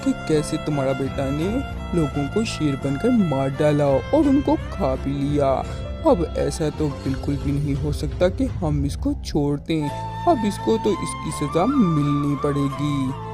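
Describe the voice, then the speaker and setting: A man's voice: fairly high pitch, very animated, unhurried. A man voicing a stern village headman in a cartoon story. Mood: stern, reproving. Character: stern, rustic village headman passing judgment. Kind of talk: Hindi cartoon story dialogue. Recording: loud music behind the voice.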